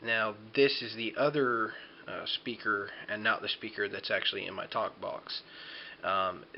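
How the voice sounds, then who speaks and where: A man giving an unscripted how-to demonstration, talking in a hesitant, rambling way as he explains. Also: lowish voice. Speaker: DIY tutorial presenter. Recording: dull lo-fi home video, background noise.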